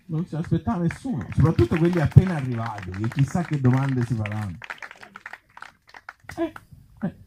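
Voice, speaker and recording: A man from Italy reading absurd short comic stories aloud to a live gathering, with deadpan humour and offhand, hesitant asides. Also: mid-range voice, moderate pace, long pauses, noisy background. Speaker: author and actor reading his comic tales at a live event.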